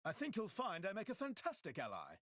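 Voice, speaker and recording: A man's voice: sits high, wildly swooping pitch, fairly quick, sharp tone, no echo, studio quality, exaggerated voice. An actor playing a swaggering, boastful young man in a game cutscene, breezy and colloquial.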